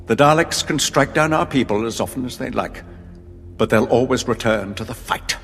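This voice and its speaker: An actor playing a smug, theatrical military leader who talks with condescending polish in an audio drama. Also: male voice, normal speed, fairly bright tone. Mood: gloating. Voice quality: low, gravelly. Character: smug, coolly enthusiastic male military leader. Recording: reverberant hall with music bed.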